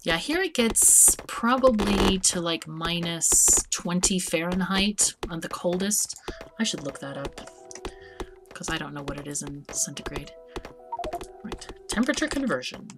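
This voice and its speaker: Woman streaming a game, low-ish voice, easygoing chatter, music playing under it. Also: a little slow. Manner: relaxed, chatty, often restarts words. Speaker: streamer hosting a multiplayer game event. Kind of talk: casual chat while playing.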